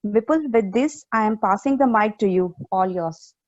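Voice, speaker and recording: A woman, hosting an online meetup and introducing the speaker in a brisk, welcoming way. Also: medium pitch, expressive. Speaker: meetup host. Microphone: video call. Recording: muffled call audio.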